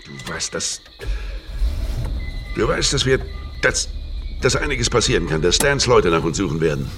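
An actor playing a gruff, suspicious criminal on the run, curt and exasperated, in a radio drama. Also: male voice, low voice, very animated, moderate pace, fairly bright tone, faint music behind. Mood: skeptical. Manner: curt, interrogating, cursing.

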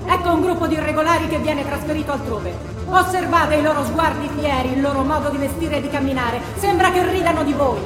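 Actress as very high, imperious regime announcer. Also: female voice, fairly quick, close to an everyday voice. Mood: commanding, contemptuous. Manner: commanding, declamatory. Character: imperious woman announcer of a totalitarian hate ritual. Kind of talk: theatrical radio drama acting. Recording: long hall reverb, music behind.

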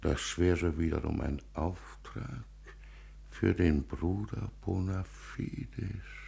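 Creaky, deep old zealot patriarch. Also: male voice, takes it slowly, warm tone, exaggerated voice. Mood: fawning, sly. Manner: pompous, preaching, emphatic. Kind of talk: 1950s German radio play acting. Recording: echoey 1950s radio studio recording.

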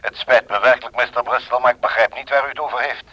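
An actor playing a polite, evasive, overly obliging gentleman on the telephone in a Dutch radio play, speaking hesitantly. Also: medium pitch, steady tone, fast talker, dry recording, highs cut off, close to an everyday voice.

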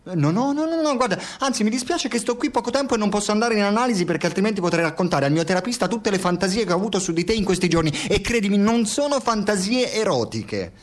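An actor playing a frustrated, foul-mouthed scientist in an Italian radio drama, sarcastic and given to profane ranting. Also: male voice, high voice, expressive, quick pace, hardly any pauses. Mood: angry, sarcastic. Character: frustrated, foul-mouthed male scientist turned reluctant playwright. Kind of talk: Italian radio theatre acting.

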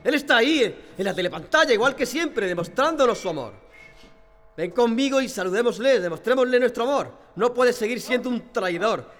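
Man acting fervent brainwashed prisoner, high-voiced, cavernous reverb. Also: animated, brisk, fairly bright tone. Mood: fanatical, threatening. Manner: fervent, pleading, emphatic. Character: brainwashed male prisoner, fervently devoted to his oppressors. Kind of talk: radio drama acting. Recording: long hall reverb, faint music behind.

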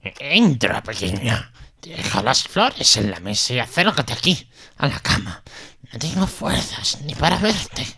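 Fake grandmother wolf, storybook drama, gravelly and husky, swooping intonation, feigned frailty. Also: very deep voice, big pitch swings, unhurried, bright, forceful tone, full character voice. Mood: coaxing, sinister. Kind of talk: audio fairy-tale drama acting.

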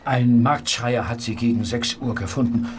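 An actor playing a dutiful, blunt Victorian street constable in a radio play, reporting formally. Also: male voice, medium pitch, moderate pace, soft background music, natural voice. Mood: dutiful, shaken. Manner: formal reporting, deferential to superiors. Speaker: actor in an audio drama. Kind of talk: German radio play acting.

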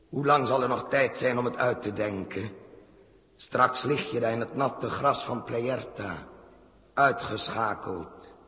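A man's voice: mid-range voice, a bit bright, big-room sound. An actor playing a feverish, guilt-haunted man in a theatrical Dutch 1960s radio drama. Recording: muffled, rough 1960s radio recording.

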